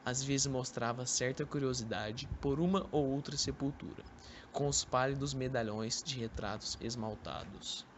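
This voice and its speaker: A man's voice: mid-range voice, moderate pace. A young man reading scripted literary narration for an amateur radio drama. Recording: noisy amateur recording.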